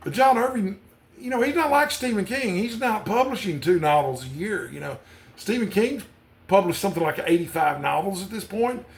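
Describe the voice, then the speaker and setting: Man, slightly high voice, book channel host, casual, unscripted, rambling, conversational. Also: lively intonation, moderate pace, creaky.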